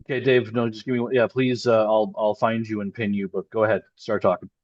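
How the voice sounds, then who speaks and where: A man from Southern Ontario with a somewhat low voice runs an online meeting, calling on speakers briskly and in a friendly way. His audio is dry and dull, typical of a video call. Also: little pitch movement, a little mellow, no room sound. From Southern Ontario, Canada. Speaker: meeting moderator. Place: home in Panama, video call.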